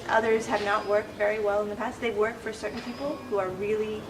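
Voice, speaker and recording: A young woman raised in the United States, answering interview questions thoughtfully, with unhurried phrasing and hesitations. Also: medium pitch, fairly even intonation. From born in Canada, raised in the United States. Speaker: interview guest, Quran instructor. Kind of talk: conversational interview. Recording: distant mic with clear background noise.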